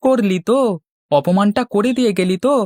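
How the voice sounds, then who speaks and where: A woman's voice: mid-range voice, moderate pace, warm tone, no room sound, clean studio sound, lightly acted. A woman voicing a cheeky, boastful young village boy in a children's cartoon, with cocky back-talk. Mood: cocky, teasing.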